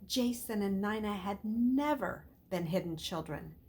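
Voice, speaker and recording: Woman doing a read-aloud of a kids book, dramatic and expressive, middling pitch. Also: a little slow. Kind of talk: expressive reading of a children's novel.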